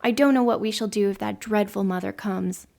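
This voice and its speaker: A woman's voice: medium pitch, a little fast, creaky, warm tone, lightly acted. A woman voicing an anxious, tearful little girl in a fretful manner. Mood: fearful.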